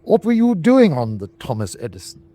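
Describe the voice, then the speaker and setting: Formal U-boat captain, swinging pitch. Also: male voice, huge pitch swings, dark tone. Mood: polite, curious. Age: 40s. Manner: formal, measured, authoritative. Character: forty-year-old German U-boat captain, courteous but embattled. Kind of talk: radio drama acting.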